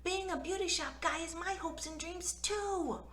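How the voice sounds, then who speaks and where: A woman voicing an eager, friendly little schoolgirl in a storybook, with an excited, childlike manner. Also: very high-pitched, unhurried, roomy sound, voice pushed up.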